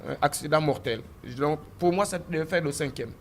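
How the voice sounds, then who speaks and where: A man giving a testimony in an interview, telling his story with animated delivery, stammered restarts and repeated phrases. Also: on the high side, brisk, a little hiss or hum. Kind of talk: recounting a personal story in an interview.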